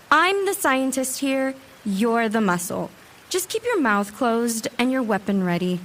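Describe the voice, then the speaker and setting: Sassy scientist played by a woman, highish, distant. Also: a little hiss or hum. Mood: snippy, annoyed. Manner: sassy, exasperated, pedantic. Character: sassy, impatient female Warlock scientist, bossy intellectual. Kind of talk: acted dialogue in fan fiction audio drama.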